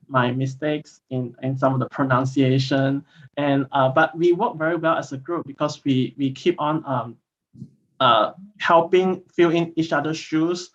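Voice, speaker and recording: A man's voice: mid-range voice, muffled recording. A man whose first language is Mandarin, sharing at an online meeting, hesitant and full of restarts and fillers. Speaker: volunteer speaker.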